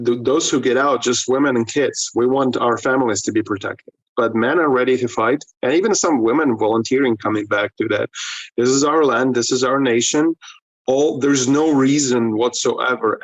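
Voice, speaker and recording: A man, a pastor speaking in a remote news interview, halting with frequent restarts and fillers. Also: mid-range voice, dry recording, rough recording. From Ukraine. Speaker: pastor and missionary. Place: in Lviv, Ukraine, remote link.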